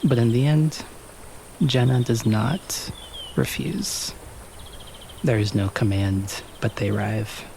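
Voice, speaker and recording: Slow, creaky-voiced man on a podcast. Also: fairly low pitch, steady tone, mellow, a little hiss or hum, low-quality recording. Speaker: actual-play podcast performer. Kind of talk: podcast narration and sign-off.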